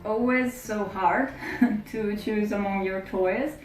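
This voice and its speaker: A woman from Italy, chatting about her toy collection in a chatty, giggly, deliberate manner. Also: mid-range voice, steady tone, faint music behind. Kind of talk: casual video testimonial. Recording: echoey room.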